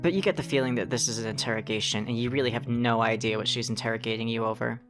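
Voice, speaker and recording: A very low-pitched narrator, acted by a woman tells a visual novel story in the second person. The delivery is wry and conversational, wandering into asides, over clearly audible music. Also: steady tone, quick pace. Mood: flustered, wry. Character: second-person narrator, wry, rambling, easily sidetracked visitor. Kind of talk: scripted narration of a fan-dubbed visual novel.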